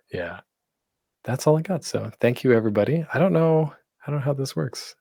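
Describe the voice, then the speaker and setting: A man's voice: medium pitch, gravelly. A man presenting a casual online panel as a podcaster, speaking off the cuff in a rambling, self-deprecating, jokey way.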